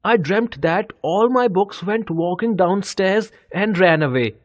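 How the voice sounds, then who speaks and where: A man's voice: sits high, expressive, a little slow, no echo, voice pushed up. A man playing an easily alarmed, timid little schoolboy in a children's storybook. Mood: amused.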